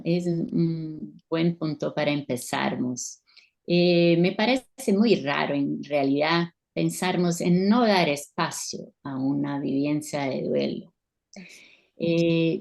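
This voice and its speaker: A woman's voice: low-pitched, creaky, darkish tone. A Brazilian woman with a Portuguese accent, a psychologist guest explaining things thoughtfully and searching for words. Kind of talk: explaining in an interview.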